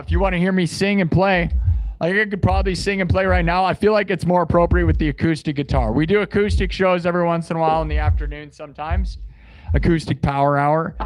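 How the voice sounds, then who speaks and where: A man hosting a music livestream, chatting casually and slangily with his viewers and giving hyped shout-outs. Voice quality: bass singing voice.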